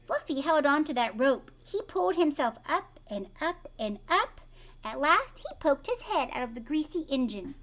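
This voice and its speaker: Female teacher for children's read-aloud: animated, fairly high voice, chats directly to young listeners. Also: moderate pace. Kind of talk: storybook read-aloud with chatty asides to children.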